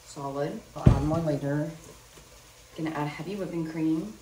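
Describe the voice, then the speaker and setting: A young woman vlogger chatting casually as she cooks in her home kitchen, thinking out loud about each step. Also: low-pitched, normal speed, background noise. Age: young adult. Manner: chatty, thinks aloud while cooking. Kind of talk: casual cooking vlog talk. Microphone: camera on the counter, a few steps away. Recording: echoey kitchen, mic at a distance.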